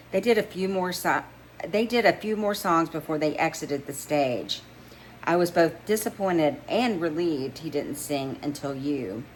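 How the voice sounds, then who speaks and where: A woman's voice: low voice, a little hiss or hum, poor audio. A woman reading romance fiction aloud as a first-person narrator, stumbling now and then and re-reading phrases. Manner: stumbles over words and re-reads phrases. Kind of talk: amateur read-along of romance fiction, first-person storytelling.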